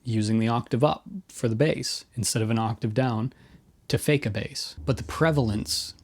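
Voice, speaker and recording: Man, middle-aged, mid-pitched voice, informal, opinionated, casual video commentary. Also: normal speed, breathy edge. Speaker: host of a guitar gear video.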